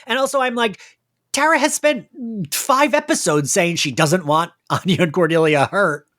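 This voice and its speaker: A podcast host chatting casually and excitedly about an audio drama, with the gushing, giggly manner of a fan. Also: mid-range voice, moderate pace, no echo, studio quality. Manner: animated, gushing fan talk peppered with laughter.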